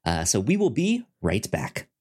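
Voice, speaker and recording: A man's voice: lowish voice, huge pitch swings, moderate pace, darkish tone, breathy edge. A man co-hosting a podcast in casual film discussion, often stammering and repeating words as he goes. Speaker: podcast co-host.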